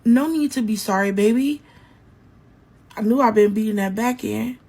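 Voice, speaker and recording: Woman voicing a blunt, husky-voiced groom. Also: on the high side, normal speed, warm tone. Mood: tender. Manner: blunt, colloquial. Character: blunt, fed-up man in love, a groom.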